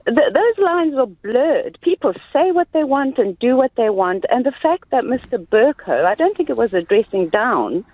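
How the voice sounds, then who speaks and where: A woman's voice: fairly high pitch, very animated, moderate pace, few pauses, dry recording. A woman from South Africa calling a radio phone-in to give her opinion earnestly, over a muffled phone line. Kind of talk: conversational opinion giving.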